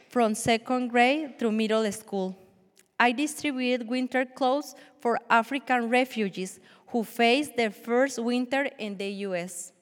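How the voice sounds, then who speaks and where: A woman in her forties from Colombia, a native Spanish speaker with a Colombian accent, giving an earnest, measured church testimony with small restarts. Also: a little high. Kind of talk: prepared personal testimony. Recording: long hall reverb.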